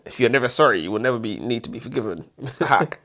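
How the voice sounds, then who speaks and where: A man's voice: mid-range voice, animated, quick pace, fairly bright tone. A British man bantering casually as a book review co-host, blunt, opinionated and jokey.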